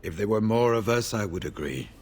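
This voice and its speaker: An actor playing a weary, grave older warrior in a video game, speaking in a measured, solemn and formal way. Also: male voice, on the low side, expressive, breathy edge. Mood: grave, resolute. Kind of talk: video game character dialogue.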